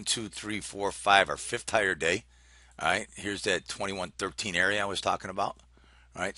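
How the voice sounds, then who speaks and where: A man giving informal, unscripted market commentary as an analyst, talking haltingly as he thinks aloud and reads out numbers. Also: rumbling low, pushed and bright, no room sound. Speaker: market analyst hosting a trading briefing.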